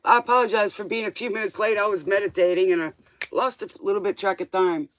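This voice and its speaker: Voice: medium pitch, steady tone, fast, fairly bright tone, a little hiss or hum. A livestream tarot host chatting casually in a rambling, informal way, drifting between thoughts and tossing out questioning asides.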